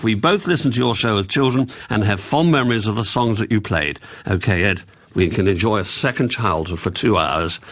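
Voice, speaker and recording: A man's voice: medium pitch, fairly bright tone. An English man in his sixties hosting a radio request show, chatting and reading out listeners' messages. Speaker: radio host.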